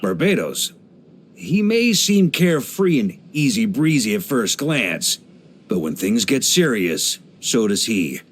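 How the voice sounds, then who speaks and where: An actor playing a hearty, easygoing veteran knight grandmaster in a video game, speaking in an expressive, playful, conversational way. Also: male voice, mid-range voice, slow, slight background noise. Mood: warm, admiring. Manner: jovial, expressive, playful, chuckling asides.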